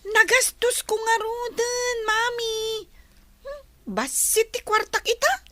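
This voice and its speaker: A woman's voice: very high voice, slow pace, bright, forceful tone, dry recording, full character voice. A woman with an Ilocano accent playing a spendthrift, wheedling young daughter in a radio comedy drama, whining and pushy.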